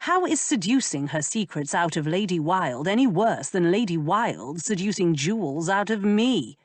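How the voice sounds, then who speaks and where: A woman voicing a teasing aristocratic male earl who speaks in an unhurried, amused drawl. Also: on the low side, expressive, no room sound.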